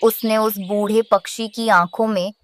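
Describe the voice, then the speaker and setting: A woman's voice: mid-range voice, steady tone, unhurried, a little hiss or hum. A woman narrating a scripted children's story.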